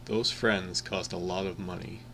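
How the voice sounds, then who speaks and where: Male actor as earnest, pedantic young jazz nerd in radio play; lowish voice, dry delivery, faint hiss. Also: steady tone, measured pace, a bit bright. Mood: dry, bickering.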